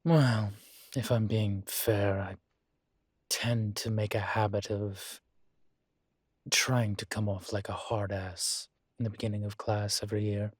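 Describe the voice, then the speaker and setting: A man acts out a weary male incubus who teaches college, gruff yet fond beneath it. His voice is low-pitched and husky, recorded dry and close in an intimate audio roleplay. He talks in halting, candid phrases broken by sighs. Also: little pitch movement, dry recording. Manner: slow, halting, sighing, candid.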